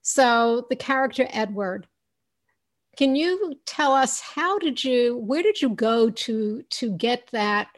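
Slow, halting woman host. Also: fairly high pitch, animated. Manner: halting, many fillers and repeated words. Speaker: interview host. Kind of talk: casual interview questions.

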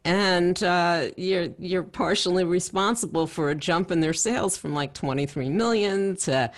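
A woman with a Long Island accent hosting an interview, asking measured, conversational questions. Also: on the low side. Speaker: interview host.

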